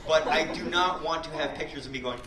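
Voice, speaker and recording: A man in a casual chat as a voice actor and show creator, animated and joking as he talks about character voices. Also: a little high, a little fast. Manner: animated, joking, often laughing. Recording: echoey, distant, very noisy.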